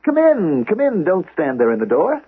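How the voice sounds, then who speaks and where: An actor playing a friendly, considerate young painter in a radio drama, brisk and hospitable. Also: male voice, high-pitched, huge pitch swings, slightly rough, exaggerated voice. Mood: welcoming. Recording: narrow-band old radio recording.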